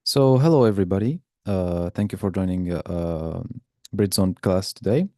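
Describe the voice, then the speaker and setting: Man, deep voice, soft dark tone, informal guest presenter, dry close sound. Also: normal speed, no room sound. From Algeria. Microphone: video call.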